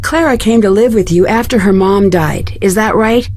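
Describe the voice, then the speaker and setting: A woman playing an inquisitive, earnest young detective in a video game, speaking politely and clearly. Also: mid-range voice, normal speed, a little mellow, natural voice. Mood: curious, careful.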